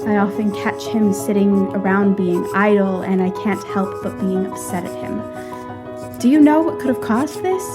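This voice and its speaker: A woman playing a refined, affectionate young lady abroad in a period audio drama, with an animated, formal way of talking. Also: mid-range voice, a little slow, over loud music, natural voice. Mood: fond, concerned. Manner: animated, genteel, formal phrasing.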